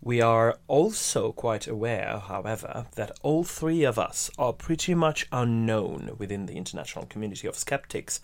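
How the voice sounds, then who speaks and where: A young man from Hungary, an English speaker, hosting a casual podcast chat, hesitant with many restarts. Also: mid-range voice. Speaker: podcast host.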